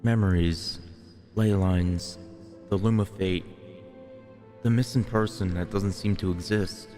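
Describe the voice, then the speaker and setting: Slow, deep-voiced man playing a game. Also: fairly even intonation, dark tone, soft background music. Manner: laid-back, offhand remarks. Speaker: gaming video host. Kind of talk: casual game playthrough, reading lines aloud.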